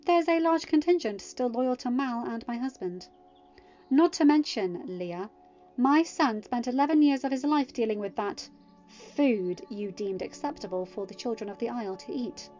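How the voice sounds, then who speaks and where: A woman voicing a composed goddess queen who speaks in a dry, measured, quietly cutting way. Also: a little high, animated, close to an everyday voice. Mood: cool. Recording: muffled audio with soft music.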